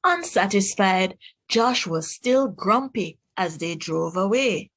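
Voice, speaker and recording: A woman reading a children's storybook aloud in a deliberate, expressive way, speaking directly to young listeners. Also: mid-range voice.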